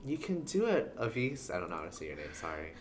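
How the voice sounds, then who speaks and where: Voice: low voice, huge pitch swings, quick pace, a little hiss or hum. A streamer giving casual live game commentary, rambling in playful asides to the characters.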